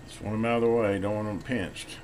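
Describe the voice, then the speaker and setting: A man's voice: fairly low pitch, fairly even intonation, a little fast, a little mellow, a little hiss or hum. An American man giving a casual, hands-on demonstration, talking informally and folksily, half to himself as he works. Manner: folksy, informal, muttering to himself while working. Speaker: repair vlogger.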